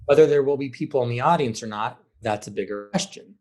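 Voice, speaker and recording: A man's voice: medium pitch, quick pace, a little mellow. An American man speaking as a theater director guest in a conversational podcast interview, explaining animatedly and acting out bits of dialogue. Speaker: high school theater program director and guest.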